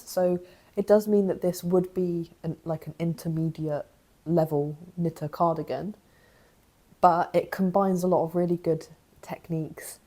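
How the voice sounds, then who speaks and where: Young woman, bit croaky from a cough, rambly knitting vlog chat, lowish mellow voice. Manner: thinking aloud, chatty and rambling. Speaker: knitting vlogger.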